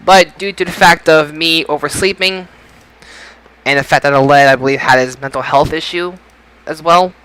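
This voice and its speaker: A man's voice: fairly high pitch, moderate pace, pushed and bright, clean tone, rough recording. A man hosting a casual podcast, announcing like a showman and stumbling over his words at times. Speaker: podcast host. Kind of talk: casual podcast show intro and chat.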